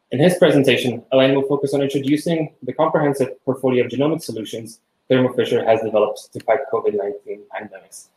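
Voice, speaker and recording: A man's voice: mid-range voice, darkish tone. Man, conference host, mid-range voice, polished announcing tone, roomy echo. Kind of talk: formal introduction. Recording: echoey room.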